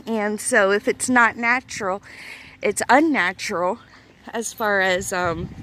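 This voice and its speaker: A woman's voice: a little high, fairly bright tone, a little hiss or hum. A woman in her thirties with a young-sounding voice, chatting casually to camera in a rambling, hesitant way with many fillers. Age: 30s. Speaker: vlogger.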